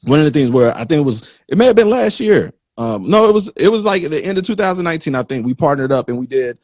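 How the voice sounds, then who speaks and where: A man hosting an interview, chatting informally in a halting, repetitive way. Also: a little high, very animated, darkish tone, no room sound, clean studio sound. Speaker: interview host. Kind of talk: casual conversational chat.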